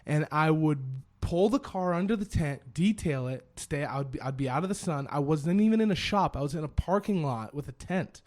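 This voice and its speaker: A man in his thirties, a business owner guest telling his story in a casual, animated way and often repeating phrases. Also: a little high, brisk. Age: 30s. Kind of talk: casual conversation.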